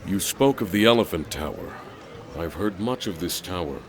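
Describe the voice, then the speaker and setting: Deep-voiced, stern young barbarian. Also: male voice, animated, slight background noise. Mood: stern curious. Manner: terse, stern, deliberate. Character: tall, powerful young barbarian warrior, blunt and curious. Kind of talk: fantasy audio drama acting.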